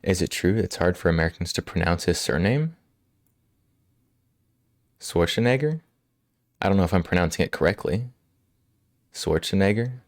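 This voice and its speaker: Very deep-voiced man, a bit hoarse. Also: moderate pace, long pauses, dry recording, clean studio sound. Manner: relaxed, laughs often, answers chat. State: hoarse from a cold. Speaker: livestream host. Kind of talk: casual chat with live chat. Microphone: studio microphone.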